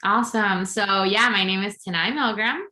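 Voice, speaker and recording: A woman's voice: mid-range voice, steady tone, normal speed, bright, forceful tone, roomy sound. A woman from Venezuela, a podcast guest and intuitive coach, telling her story in an open, upbeat way. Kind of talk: casual interview chat.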